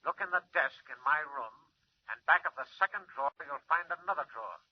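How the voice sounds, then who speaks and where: A curt crook boss on the phone. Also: male voice, on the high side, expressive, brisk, slightly rough. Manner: curt, clipped orders. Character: curt middle-aged male crook boss. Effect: telephone. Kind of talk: 1940s radio acting. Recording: tinny phone line, old radio.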